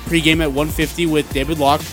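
A man's voice: fairly high pitch, level tone, fairly quick, fairly bright tone, faint music behind. A man hosting a sports radio show, casually running through schedules and what is coming up next.

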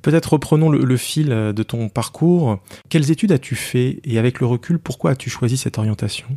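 Slightly higher-voiced male podcast host. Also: fairly quick, mellow. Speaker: podcast host, professional coach. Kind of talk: scripted introduction and interview questions.

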